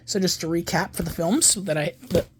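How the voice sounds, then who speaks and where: A man's voice: high-pitched, a little fast, a little hiss or hum. A man in his twenties chatting casually in a show-and-tell vlog about his film disc collection, rambling and digressive, often correcting himself mid-sentence. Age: 20s. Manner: enthusiastic fan talk. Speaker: home video collector and YouTuber.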